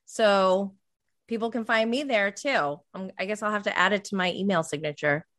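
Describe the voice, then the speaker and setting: A woman's voice: mid-range voice, normal speed, fairly bright tone. A woman in her late thirties hosting a podcast, chatting casually and candidly with an informal, self-deprecating way of talking. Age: late 30s. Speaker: podcast host.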